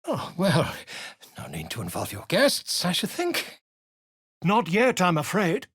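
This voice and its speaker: Pompous bard-scholar, breathy, slow and sing-song, dry studio sound. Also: male voice, sits high, huge pitch swings, fairly bright tone, no room sound, full character voice. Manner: flowery, pompous, declamatory. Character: eccentric male bard-scholar, pompous and theatrical. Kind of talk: video game voice acting.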